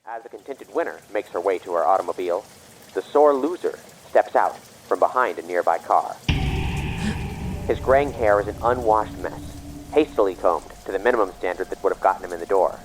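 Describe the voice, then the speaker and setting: A man as a low-voiced horror mystery narrator. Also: fairly quick, background noise, lightly acted. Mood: grave, suspenseful. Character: grave storyteller of a horror mystery tale. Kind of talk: dramatic scripted audio drama narration.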